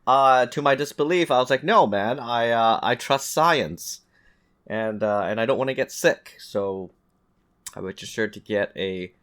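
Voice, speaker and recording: A man telling stories in casual, animated podcast banter as a host, with some swearing. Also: mid-range voice, measured pace. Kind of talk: casual chat, storytelling.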